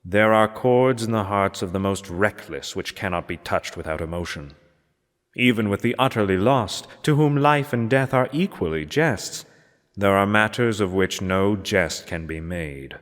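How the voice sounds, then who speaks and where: A man reading a gothic story aloud as narrator, in a solemn, measured and theatrical manner. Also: lowish voice. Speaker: narrator, reader. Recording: long hall-like reverb.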